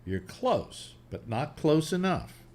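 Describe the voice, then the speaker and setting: Lively halting man in his eighties. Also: fairly high pitch. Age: 80s. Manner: halting, many restarts, lively. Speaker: radio host. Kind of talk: casual panel chat.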